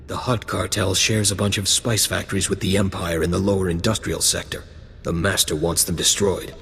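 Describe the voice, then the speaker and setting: An actor playing a gruff, blunt gang lieutenant recruiting for a criminal crew in a video game. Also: male voice, deep voice, flat delivery, moderate pace, a bit bright, big-room sound, slight background noise. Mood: commanding. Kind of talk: video game dialogue acting.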